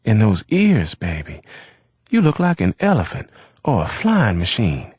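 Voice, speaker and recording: A man's voice: lowish voice, huge pitch swings, a little slow, darkish tone, breathy edge, no echo, pitched above the performer's own voice, heavily acted. A man doing a teasing American man.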